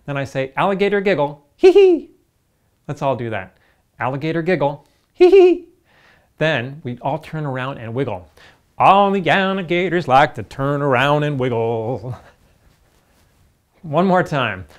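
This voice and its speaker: A man's voice: fairly high pitch, very animated, a little slow, pauses often. A middle-aged man hosting a children's puppet show, cheerfully leading call-and-response dance moves. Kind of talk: kids' show with songs. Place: indoor studio set with painted backdrop. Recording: roomy studio with guitar music behind.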